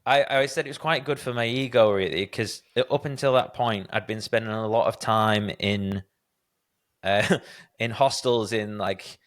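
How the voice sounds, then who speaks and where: Low, smooth-voiced male podcast host. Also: fairly even intonation, normal speed, a bit bright. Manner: candid, self-deprecating storyteller. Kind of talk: casual conversational interview.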